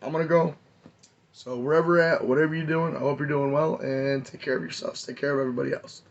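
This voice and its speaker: A man, hoarse and losing his voice, giving a rambling, enthusiastic hobby update on his model car builds. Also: fairly high pitch, flat delivery, fast talker, muffled recording. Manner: rambling, enthusiastic, many fillers.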